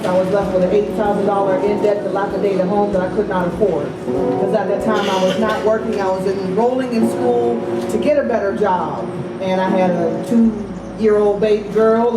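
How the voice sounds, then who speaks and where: A woman's voice: mid-range voice, steady tone, normal speed, over loud music. Woman giving an earnest, echoey testimony. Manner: earnest, preaching in third person. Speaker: storyslam speaker. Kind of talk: personal testimony. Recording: echoey, far from the mic.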